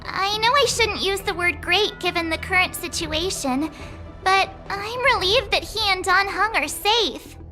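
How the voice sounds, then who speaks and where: A woman voicing a timid, kind-hearted little girl in a video game, hesitant and gentle. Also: very high voice, measured pace, soft background music, full character voice. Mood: timid relief. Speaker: voice actor. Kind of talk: scripted video game acting.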